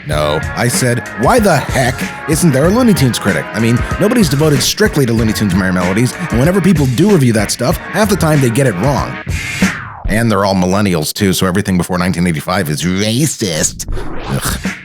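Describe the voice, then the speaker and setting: A man's voice: medium pitch, sing-song, brisk, over music. A man co-hosting a podcast, giving casual comedic commentary in a sardonic, critical manner. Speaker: podcast co-host.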